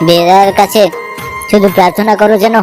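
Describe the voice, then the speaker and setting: A woman's voice: medium pitch, steady tone, fairly quick, music in the background, natural voice. A woman voicing a poor village husband in a cartoon story, earnest, resigned and protective. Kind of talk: Bengali cartoon story dialogue.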